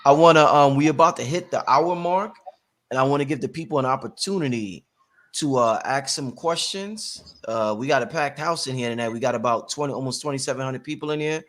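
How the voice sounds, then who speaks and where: A man's voice: medium pitch, brisk, a bit bright. A man hosting a live talk show, speaking casually and informally to his audience as family.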